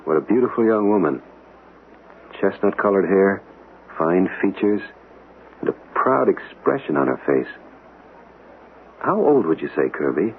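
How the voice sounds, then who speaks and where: Earnest, lively-pitched radio-drama man. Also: measured pace, long pauses. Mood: musing. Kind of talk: 1970s radio drama acting. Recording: dull lo-fi radio, faint noise.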